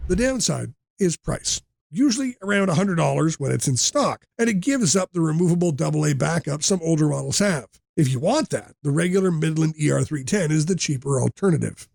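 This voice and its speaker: Higher-pitched man narrating with slight gravel. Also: lively intonation, a little fast, a little mellow, no echo, clean studio sound. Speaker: product review presenter. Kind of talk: scripted review narration.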